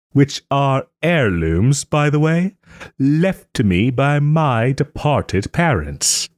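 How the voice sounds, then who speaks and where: A man playing a proud, sarcastic nobleman in a scripted roleplay audio drama, with haughty, theatrical phrasing and pointed pauses. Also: medium pitch, a little mellow, dry recording, clean studio sound. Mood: sardonic, aggrieved. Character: wry, wounded young nobleman lord, sarcastic and proud.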